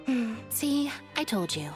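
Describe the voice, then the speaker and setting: A woman voicing a calm, reserved young woman in a video game, understated and deadpan. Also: on the high side, slow pace. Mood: dry, gently frank. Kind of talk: video game character dialogue. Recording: faint music behind.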